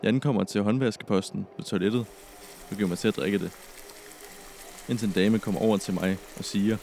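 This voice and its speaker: A man's voice: fairly low pitch, fairly even intonation, gravelly, darkish tone, a little hiss or hum. A young man narrates his own story as a scripted podcast. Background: music.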